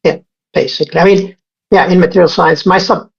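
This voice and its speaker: A man's voice: on the high side, steady tone, brisk, vocal fry, husky. A man in his sixties from Egypt, a scientist guest in an interview, talking casually with many fillers and restarts. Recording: dull lo-fi sound.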